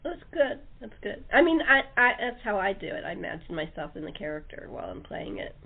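A woman's voice: mid-range voice, big pitch swings, brisk, gravelly. A woman hosting a casual, informal interview chat about her creative work. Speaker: host, radio-play writer and actor. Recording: muffled phone-line quality.

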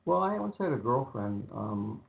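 A man co-hosting a radio talk show, speaking in a calm, even, rambling way with many ums. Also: mid-range voice, normal speed, creaky. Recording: phone-like narrow sound.

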